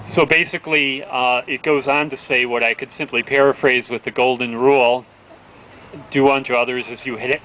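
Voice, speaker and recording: A man with a bright, forceful voice of medium pitch addresses a public gathering. He is plain-spoken and informal, with faint street noise around him. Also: rough recording. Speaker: event announcer and speaker. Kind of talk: informal rally speech. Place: outdoor town plaza. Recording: outdoor street noise behind.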